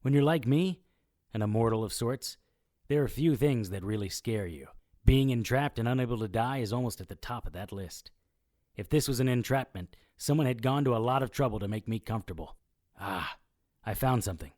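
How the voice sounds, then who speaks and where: An actor playing a wry, self-mocking immortal occult investigator who narrates an audio drama in noir style. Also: male voice, medium pitch, animated, brisk, a little mellow, dry recording, studio quality, lightly acted. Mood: brooding, puzzled. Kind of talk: scripted first-person audio drama narration.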